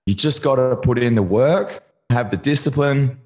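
An Australian man of thirty, a podcast guest speaking casually and earnestly, his voice a little croaky after singing the night before. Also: medium pitch, moderate pace, darkish tone. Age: 30s. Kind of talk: casual interview chat.

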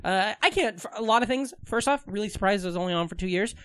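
A man's voice: sits high, lively intonation, quick pace, a bit bright, smooth, dry recording. A man with a slight lisp chatting casually as a podcast co-host, rambling and joking crudely. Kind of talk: casual comedic chat.

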